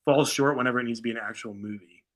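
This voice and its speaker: A man's voice: mid-range voice, racing. An American man co-hosting a show in casual chat, reading things out and reacting in informal, slangy asides. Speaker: show co-host.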